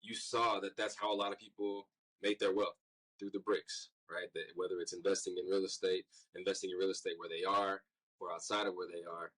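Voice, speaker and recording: A man co-hosting a podcast, speaking in a relaxed and loose way that is full of "um" and "man". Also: low voice, fairly quick, low-quality recording. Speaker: podcast co-host. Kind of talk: casual interview hosting.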